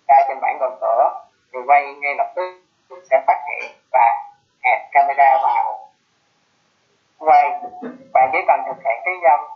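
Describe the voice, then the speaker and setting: A man giving a live technical presentation in an explanatory way, halting and pausing mid-phrase. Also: mid-range voice. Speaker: webinar presenter. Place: online video conference. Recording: muffled phone-like web call audio.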